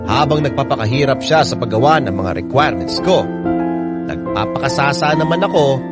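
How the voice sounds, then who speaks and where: A man's voice: medium pitch, lively intonation, a little fast, close to an everyday voice. An actor narrating as a boastful young man who cheated on his girlfriend, in a radio drama. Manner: boastful, addressing listeners. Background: loud guitar music.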